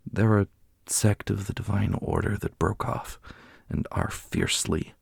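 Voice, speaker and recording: A man playing a solemn, strategic warrior leader in a fantasy audio roleplay, speaking in a formal, measured way. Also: lowish voice, huge pitch swings, gravelly, breathy edge, dry recording, clean studio sound, exaggerated voice. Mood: measured, planning.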